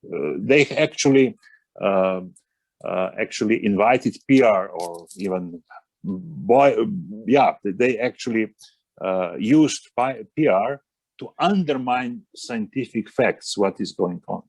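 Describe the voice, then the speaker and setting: A man speaking on a roundtable panel, halting and searching for words with frequent pauses and restarts. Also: fairly low pitch, a little mellow, no echo, rough recording. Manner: halting, many fillers and restarts. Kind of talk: roundtable discussion.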